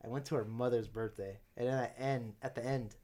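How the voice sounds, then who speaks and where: A relaxed young man chatting on a podcast. He rambles and restarts often, with a middle-range voice that is neither high nor low. His delivery is loose and conversational. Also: brisk. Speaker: podcast guest. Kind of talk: casual podcast chat.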